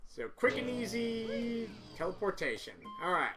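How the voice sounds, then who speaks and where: A man giving casual let's-play commentary, his voice hoarse and cracking, rambling along and correcting himself as he goes. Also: very high voice, sing-song, normal speed. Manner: rambling, self-correcting, chatty. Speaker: video game player. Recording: loud game music under voice.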